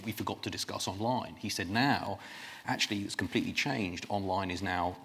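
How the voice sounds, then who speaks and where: Panelist: man, very deep voice, emphatic and halting. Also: vocal fry, fairly bright tone, slight background noise. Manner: emphatic, with frequent restarts. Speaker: panelist, adviser to companies. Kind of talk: panel discussion.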